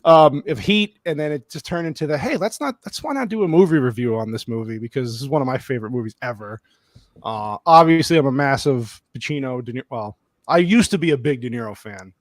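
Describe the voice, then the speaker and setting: A man's voice: mid-range voice, lively intonation, fast talker, no echo. A man hosting a casual movie livestream, loose and joking with many fillers. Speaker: livestream host.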